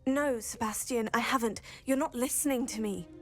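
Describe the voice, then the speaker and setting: A woman's voice: fairly high pitch, moderate pace, soft background music, close to an everyday voice. A woman playing an earnest, composed teenage witch student in a video game, speaking politely. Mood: firm.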